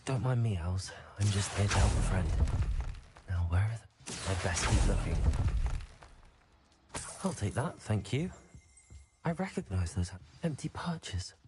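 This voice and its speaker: An actor playing a polite, helpful young student wizard in a video game, speaking courteously and often musing aloud. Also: male voice, fairly low pitch, expressive, measured pace, long pauses, over loud music. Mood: musing, searching. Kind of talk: video game protagonist dialogue.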